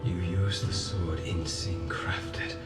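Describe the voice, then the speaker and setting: An actor playing a bitter, haunted immortal swordsman in a video game, speaking slowly and gravely. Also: low voice, fairly even intonation. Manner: slow, grave, deliberate delivery. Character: brooding, tormented undying swordsman, bitter and haunted. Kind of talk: dramatic video game monologue. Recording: echoey, distant, under loud music.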